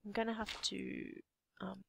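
A young Australian woman with a slightly croaky voice, half-whispering to herself as she commentates her building game. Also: medium pitch, flat delivery, unhurried, background noise. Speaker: let's play gamer. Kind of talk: casual gameplay commentary.

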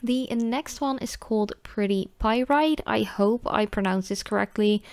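A woman's voice: on the high side, a little slow, a little mellow, dry recording. A woman who is a native Dutch speaker with a Dutch accent, giving a casual product review in a chatty, informal way. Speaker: nail polish reviewer.

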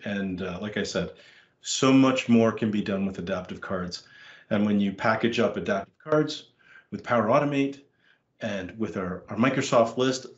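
A lowish male voice talks through a software demo and pauses often on fillers and restarts. He sounds a little hoarse, as if his voice is going. The sound is roomy and somewhat dull. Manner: hesitant, many fillers and restarts. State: losing his voice. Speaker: conference presenter. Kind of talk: screen-share tech session.

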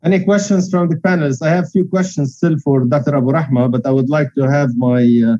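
Mellow man on dull lo-fi audio. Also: a little high, fairly even intonation, fast, no room sound. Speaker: webinar moderator. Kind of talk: moderating a panel.

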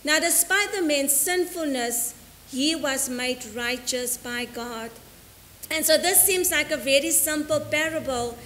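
A middle-aged woman priest preaches from the altar in a high, clear voice, measured and deliberate, slowing for each quotation. Her words ring out into the long reverb of a church sanctuary. A faint hiss sits under the recording. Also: sharp tone. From South Africa. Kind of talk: sermon. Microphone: stand mic at the altar, filmed by a fixed camera.

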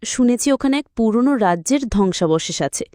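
A woman's voice: on the high side, lively intonation, moderate pace, dark tone, no echo, clean studio sound, lightly acted. A woman voicing a curious, brave and wise cat in a children's cartoon, earnest and encouraging.

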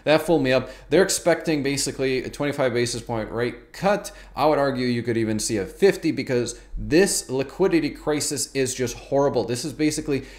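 A man's voice: medium pitch, a little fast, hardly any pauses, darkish tone. A man in his thirties giving emphatic, colloquial market commentary to camera as a YouTube host, often repeating words for stress.

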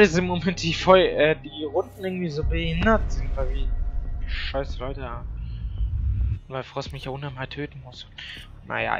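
A man with a bright, forceful voice chats casually as he hosts a gameplay video. His talk is slangy and crude, full of trash talk, and music plays behind him. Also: fairly high pitch, muffled recording. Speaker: gamer hosting a gameplay video. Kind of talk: casual gaming commentary. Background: game audio and music.

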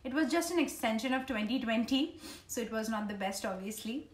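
Indian lady vlogger in her 30s, higher voice, just rambling away casually, echoey living room. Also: animated, a little fast. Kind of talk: casual chit-chat to camera. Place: small living room at home, indoors. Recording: roomy home living room echo.

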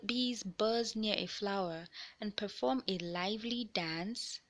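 A woman narrates a children's picture book with a very animated, swooping intonation. Her voice is bright and clear-cut, and she takes her time over each phrase. The delivery is that of an expressive storyteller explaining things to young listeners. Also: mid-range voice. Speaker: read-aloud narrator of children's books.

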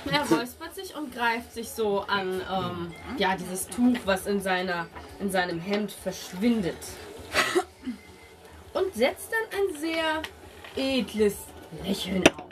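A woman's voice: medium pitch, lively intonation, slow pace, recorded from a distance. A woman narrating a tabletop role-playing game as game master, describing scenes piece by piece as she goes. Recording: music playing behind the voice.

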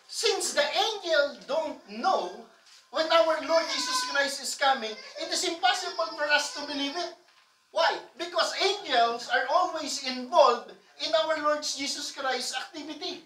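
A man, preaching loudly and emphatically to a congregation, often asking for amens. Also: very high-pitched, lively intonation, a little slow, a little hiss or hum. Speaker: pastor. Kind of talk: sermon. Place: church stage with colored lights. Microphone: far camera. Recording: echoey room from far off.

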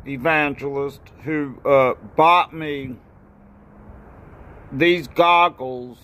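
Older man, phone video outdoors; slow, halting, filler-heavy delivery, slightly raised pitch. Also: fairly even intonation, fairly bright tone. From North Carolina. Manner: rambling and halting, with many fillers and repeated thanks. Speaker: ski racer and video maker. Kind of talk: casual home video. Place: outdoor porch. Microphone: phone camera at arm's length.

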